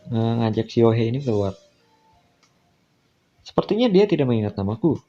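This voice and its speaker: Male Indonesian game streamer, informal narration and slangy reactions, slightly muffled recording with soft background music. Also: medium pitch. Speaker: visual novel let's-play streamer. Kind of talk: reading game dialogue aloud with casual side comments. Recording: dull lo-fi sound with music.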